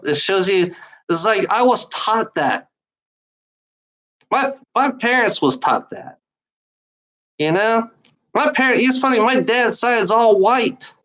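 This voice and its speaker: A woman's voice: sits low, low-quality recording. A woman delivering an angry, profane monologue to the camera, repeating phrases and restarting sentences often. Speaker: online commenter.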